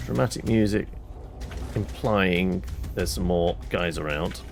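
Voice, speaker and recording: A man's voice: low voice, a little slow, creaky. A man giving casual running commentary while playing a game, reacting aloud and reading out item notes. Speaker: gameplay commentator.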